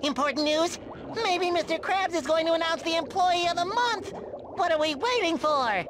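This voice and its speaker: A childlike, eager cartoon sea creature speaks in a high, squeaky, nasal voice. The delivery is earnest and excitable, with clear noise in the game audio. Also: male voice, moderate pace. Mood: excited. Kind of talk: cartoon video game acting.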